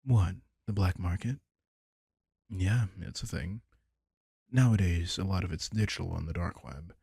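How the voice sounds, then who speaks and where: A man playing a caring, wry vampire king in an intimate comfort roleplay audio drama, talking casually and softly with dry teasing. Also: low-pitched, a little slow, vocal fry, slightly rough, no room sound, exaggerated voice. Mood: matter-of-fact, informative.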